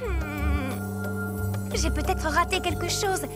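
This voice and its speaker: A woman voicing an earnest, fretful young female character, a younger sister, in an animated cartoon dub. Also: very high voice, very slow, exaggerated voice. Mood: worried. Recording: music bed under the dialogue.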